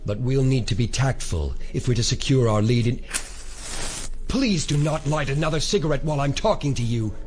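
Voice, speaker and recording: An actor playing a cold, imperious alien elder in an audio drama, speaking in a measured, formal, condescending way. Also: male voice, medium pitch, background noise, natural voice. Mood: irritated, scolding.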